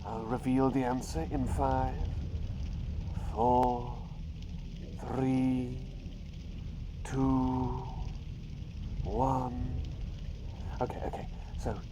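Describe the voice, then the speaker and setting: A man's voice: medium pitch, drawn-out words, long pauses. A man voicing a playful talking bird doctor in an ASMR roleplay, posing riddles in a teasing, suspenseful way and repeating his phrases. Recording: clear steady background noise.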